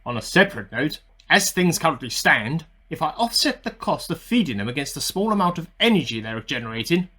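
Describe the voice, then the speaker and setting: A man's voice: on the high side, very animated, fairly quick, sharp tone, husky, full character voice. A man playing an eccentric amateur scientist who earnestly records a self-important secret diary in a radio play. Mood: puzzled, deflated. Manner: earnest, self-important, musing aloud in dated log entries.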